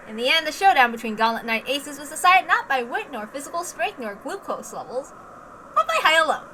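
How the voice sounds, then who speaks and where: A woman reading dramatic scripted narration in a measured, deliberate manner. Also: high voice, sing-song, bright, forceful tone, slight background noise. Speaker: narrator, reader.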